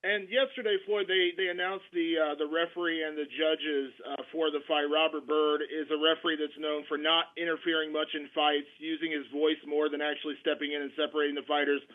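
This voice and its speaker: A man's voice: fairly high pitch, level tone, a little fast, few pauses. A male reporter asking rambling questions on a press conference call. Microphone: telephone. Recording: tinny phone line.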